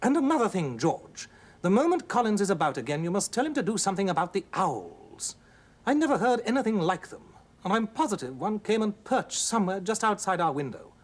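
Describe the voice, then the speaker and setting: Man voicing a fussy, animated nagging wife. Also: high voice, fairly quick, voice pushed up. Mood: indignant. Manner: insistent, fussy, reproachful. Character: brisk, nagging middle-class wife.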